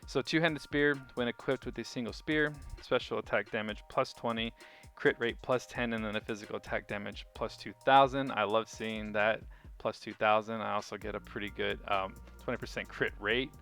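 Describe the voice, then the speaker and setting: A man with a low, gravelly voice and a bright, forward edge talks casually through a video game setup. He sounds like an informal, keen hobbyist explaining choices to viewers. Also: steady tone, normal speed. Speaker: gaming video creator. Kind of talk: casual game walkthrough commentary. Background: music.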